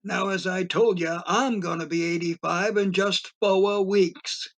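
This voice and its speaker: A man playing a wistful old storyteller in his eighties in an audio play, musing and repeating himself haltingly. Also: high voice, steady tone, fairly bright tone. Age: 80s.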